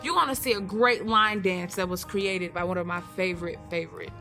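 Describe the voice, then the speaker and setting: A woman's voice: lowish voice, a little fast, bright, forceful tone, soft background music. An American woman co-hosting a show with casual, opinionated banter, emphatic and repeating phrases for effect. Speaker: radio show co-host.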